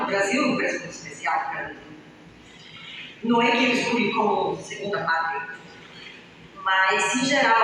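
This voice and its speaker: A woman from Bulgaria, talking reflectively as a musician interviewee. She pauses often with drawn-out fillers. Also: medium pitch, fairly bright tone. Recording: echoey, long-reverb room, mic far off, faint hiss.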